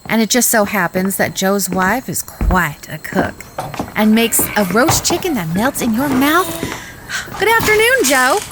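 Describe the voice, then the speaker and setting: A woman's voice: a little high, lively intonation, unhurried, background noise. A woman playing a self-assured, folksy young frontier woman with genteel, emphatic phrasing in a western audio drama. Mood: cheerful, gracious.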